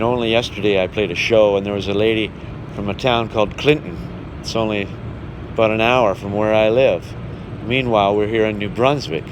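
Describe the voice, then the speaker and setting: A man with a fairly low voice talks in an earnest, measured way, as if to a documentary camera. The recording has clear vehicle and ambient noise behind him. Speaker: travelling singer speaking about his visits to seniors. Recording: noisy, vehicle and outdoor background hum.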